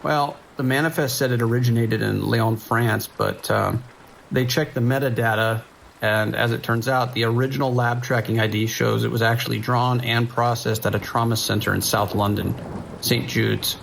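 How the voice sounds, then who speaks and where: Weary American police dispatcher male actor, gravelly and procedural, naturalistic radio drama, rain and faint noise behind. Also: medium pitch, fairly even intonation, fairly bright tone, rough recording. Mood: concerned, measured. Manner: measured, procedural, hesitant pauses. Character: steady, weary male island sheriff's dispatcher on night shift. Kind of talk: naturalistic audio drama acting.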